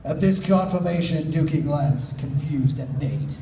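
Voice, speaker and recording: A man's voice: fairly high pitch, a little slow, warm tone. A man narrating a story and chatting casually at a live fan fiction panel. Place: convention panel room. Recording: echoey panel room, mic at distance.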